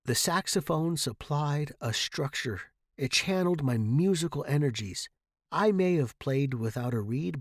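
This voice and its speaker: A man's voice: fairly high pitch, a little mellow, no echo, studio quality. A man playing an earnest, self-assured saxophonist in a theatrical, emphatic first-person story monologue. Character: earnest, self-assured male saxophonist recalling his mentor. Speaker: story narrator.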